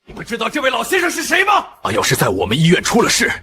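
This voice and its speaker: A man's voice: very high-pitched, huge pitch swings, racing, bright, forceful tone, husky, exaggerated voice. A man playing a stern, commanding hospital director. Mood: alarmed. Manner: commanding, authoritative. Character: stern middle-aged male hospital director.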